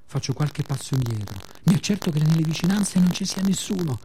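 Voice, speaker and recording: Mellow man performing a theatrical monologue. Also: on the high side, a little hiss or hum. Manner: expressive, dramatic pauses, short phrases.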